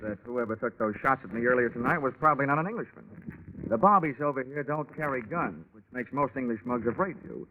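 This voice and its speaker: An actor playing a cool, wry American insurance investigator in mid-century radio drama, with clipped hard-boiled wisecracks. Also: male voice, mid-range voice, expressive, fast talker, narrow, tinny audio, a little hiss or hum, natural voice. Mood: cool, bargaining. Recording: band-limited vintage radio recording.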